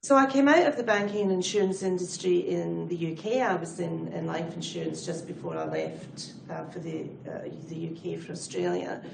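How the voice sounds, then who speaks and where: A woman's voice: fairly low pitch, sing-song, moderate pace, gravelly, hardly any pauses, noisy background. A middle-aged Scottish woman who talks in a chatty, fluent and self-deprecating way as an interview guest, sounding like she is in an echoey room. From Scotland. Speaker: guest, head of people advisory. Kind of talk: conversational interview answers.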